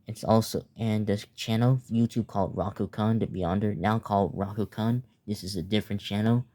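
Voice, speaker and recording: Halting young man, low monotone. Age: teenager or young adult. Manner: halting, stumbling, repeats words. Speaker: narrator. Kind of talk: improvised mock emergency announcement.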